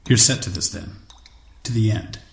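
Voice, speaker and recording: An actor playing an earnest, resolute man of action and diplomacy in an audio drama, measured and dryly humorous. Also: fairly low pitch, creaky. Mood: grave, resolute. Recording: echoey space with faint noise.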